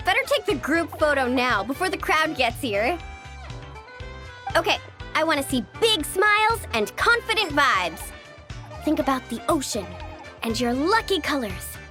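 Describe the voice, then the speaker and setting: A woman's voice: high-pitched, sing-song, measured pace, bright, forceful tone, faint music behind, full character voice. A woman voicing an upbeat, breezy, sporty young woman in a video game, casual and full of enthusiasm. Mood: upbeat, rallying.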